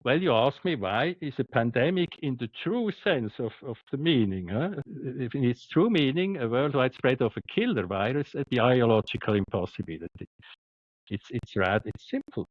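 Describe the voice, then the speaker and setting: A man with a Swiss accent, a doctor speaking as a podcast guest, halting and repeating words but emphatic. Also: medium pitch, expressive, dry recording, dull audio. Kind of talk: podcast interview.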